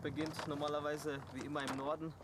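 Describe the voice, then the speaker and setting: A man in mountain guide training giving a halting summit briefing outdoors, with many hesitations. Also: on the high side, level tone, background noise.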